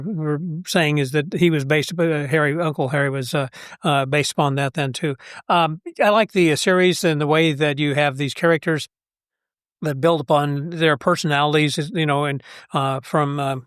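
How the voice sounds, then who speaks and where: Slightly high-voiced male podcast host. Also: fairly even intonation, moderate pace, a bit bright, clear, resonant tone, no echo, clean studio sound. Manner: conversational, asks leading questions, frequent backchannel. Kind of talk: casual interview chat.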